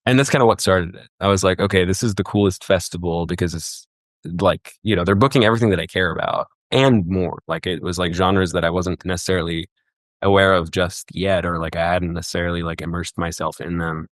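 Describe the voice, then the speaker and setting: A young man from Egypt with a very low voice talks casually on a podcast, circling his thoughts with lots of fillers and restarts. The sound is dry and close, with no room around it. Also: fairly quick, no echo. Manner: rambling, many fillers and restarts. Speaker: guest, festival booker.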